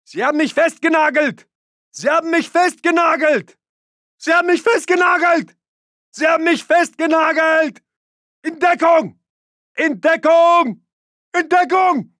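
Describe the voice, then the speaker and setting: An aggressive German soldier for a war video game. He barks urgent, commanding battle orders at a very high, strained pitch, drawing words out slowly. The sound is close and dry. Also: fairly bright tone, dry recording. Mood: urgent shouting.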